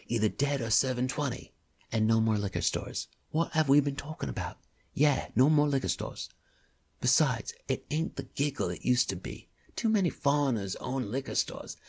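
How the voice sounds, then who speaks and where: A man voicing a heated young crook, talking fast and swearing. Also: mid-range voice, creaky, husky, pitched above the performer's own voice. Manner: fast, heated ranting, profane. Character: agitated young male English crook.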